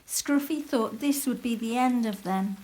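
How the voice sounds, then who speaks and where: A woman storyteller reads a children's tale aloud. Her voice is fairly high, and she takes her time, telling it expressively with a sense of drama. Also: a little slow, darkish tone. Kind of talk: scripted children's story narration.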